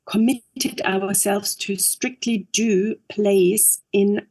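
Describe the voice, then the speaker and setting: A woman with an Austrian German accent talks in English as an interview guest, pausing often and filling gaps with ums and uhs. Her pitch sits in the middle range, and she speaks thoughtfully and without hurry. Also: fairly even intonation, a little mellow. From Upper Austria. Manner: thoughtful, halting with frequent fillers. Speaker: guest, actress and director. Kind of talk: interview conversation.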